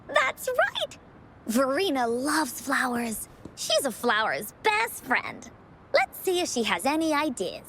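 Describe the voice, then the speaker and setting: High-pitched, bubbly little adventurer girl. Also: slow, slight background noise, exaggerated voice. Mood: excited. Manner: childlike, excitable, refers to self in third person. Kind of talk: animated video game character acting.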